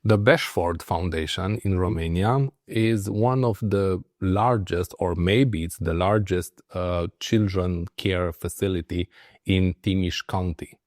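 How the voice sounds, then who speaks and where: A man hosts a radio interview and asks direct, probing questions. His voice is very low. The sound is dry and close to the mic. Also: slow pace, no echo. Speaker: interviewer, host. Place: radio studio.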